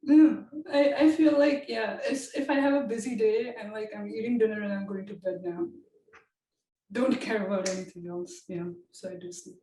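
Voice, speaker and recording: A woman's voice: medium pitch, moderate pace, dark tone. A woman researcher answering interview questions casually, chatty and thinking aloud with frequent laughs. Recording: echoey room, distant from the mic.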